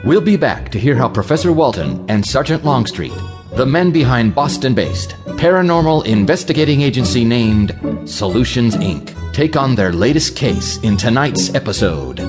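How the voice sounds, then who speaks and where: A man plays a theatrical radio serial announcer. The mid-pitched voice rises and falls dramatically in formal, scripted narration, with music clearly behind it. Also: sing-song, normal speed, natural voice. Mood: suspenseful, wry.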